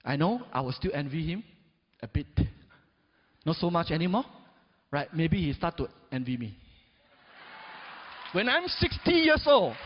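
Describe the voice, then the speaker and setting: A man's voice: sing-song, measured pace, long pauses. Emphatic man speaking in a big hall. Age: 40s. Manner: peppers talk with "right?" and "yes or no?" to the audience; emphatic, swings between loud rises and low drops. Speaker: motivational speaker. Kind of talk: motivational stage speech. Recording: dull recording in a big reverberant hall.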